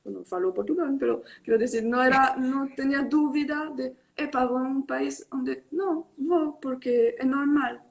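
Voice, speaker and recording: High-voiced woman, halting mixed speech. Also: creaky. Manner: mixes Spanish and Portuguese, hesitant with many fillers. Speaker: tattoo artist guest. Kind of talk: casual online live interview. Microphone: video call.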